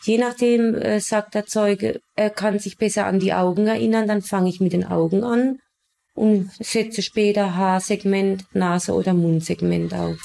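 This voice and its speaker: Woman police expert being interviewed, soft dark tone with some gravelly fry. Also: mid-range voice, steady tone, unhurried. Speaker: police composite sketch artist.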